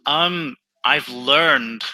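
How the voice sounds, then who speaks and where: Slow, bright-voiced man chatting. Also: mid-range voice, rough recording. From England. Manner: relaxed, chatty, tells stories slowly. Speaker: online English tutor. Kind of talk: casual conversation practice.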